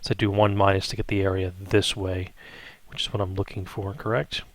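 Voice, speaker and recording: A man's voice: low-pitched, level tone, fairly quick, no room sound. A man working through a math lesson as an instructor, thinking aloud in an improvised way and often checking in with short questioning tags. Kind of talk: improvised step-by-step spreadsheet tutorial.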